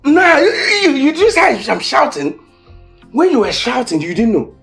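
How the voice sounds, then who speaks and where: An actor playing a struggling, hot-tempered young man who swings between pleading and shouting. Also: very high voice, huge pitch swings, unhurried, vocal fry, soft background music, exaggerated voice. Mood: angry. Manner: pleading then shouting in bursts. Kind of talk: Nigerian film drama acting.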